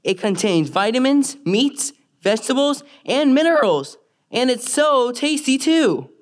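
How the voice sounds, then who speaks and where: Lady doing a upbeat fake ad announcer, slightly high voice, kids puppet show. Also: sing-song, a little slow. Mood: salesy. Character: upbeat mock-commercial announcer peddling ice cream. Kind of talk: parody advertisement read.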